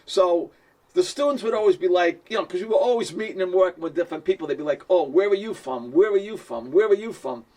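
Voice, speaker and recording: A man's voice: high-pitched, expressive, fast talker, dark tone, far from the mic. A man with a strong Boston accent, talking casually to camera as a musician and producer, chatty and self-deprecating with frequent chuckles. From Boston, USA. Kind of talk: casual direct-to-camera talk.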